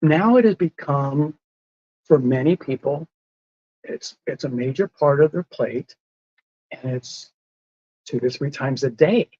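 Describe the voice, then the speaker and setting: A man's voice: medium pitch, lively intonation, takes it slowly, pauses often, warm tone, lo-fi sound. A man in a casual podcast conversation, explaining whole foods in a patient way and laughing often. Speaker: lifestyle medicine advocate.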